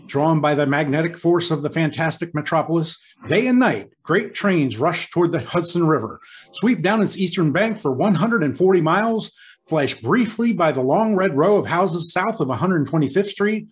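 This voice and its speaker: A man narrating an old-time radio drama in a grand, theatrical, scripted manner. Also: fairly high pitch.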